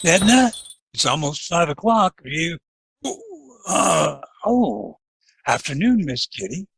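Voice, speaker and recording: An actor playing a bashful, awkward, earnest Western deputy in a radio drama, halting and stammering. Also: male voice, fairly high pitch, lively intonation, gravelly, a bit bright, slightly rough, dry recording, full character voice. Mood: flustered, shy.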